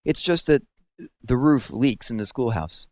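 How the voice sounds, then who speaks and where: A man reads as a young storybook schoolmistress with a light, polite manner. She is a little coy and gently teasing. The recording is dry, with little room sound. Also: mid-range voice, measured pace, dry recording, close to an everyday voice.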